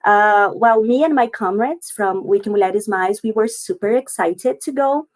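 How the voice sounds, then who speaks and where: A woman's voice: medium pitch, normal speed. Female panel guest, Brazilian accent, Portuguese mother tongue, mid-range voice, conversational delivery on a video call. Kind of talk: conversational interview answers.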